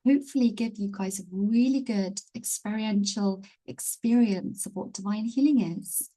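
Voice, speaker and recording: Mellow-voiced woman hosting a webinar. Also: medium pitch, moderate pace, poor audio. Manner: easygoing, gently encouraging. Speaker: webinar host and healing teacher. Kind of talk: hosting a live online webinar. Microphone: video call.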